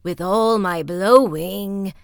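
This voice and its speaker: A woman voicing a boisterous male wind in a storybook tale, speaking loud, slow and booming. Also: creaky, no echo, clean studio sound.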